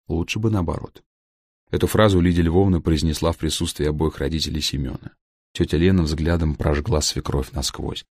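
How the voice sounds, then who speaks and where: A man's voice: very deep voice, darkish tone, slightly rough, dry recording, studio quality. A man narrating a humorous first-person story for an audiobook, with a wry, ironic delivery.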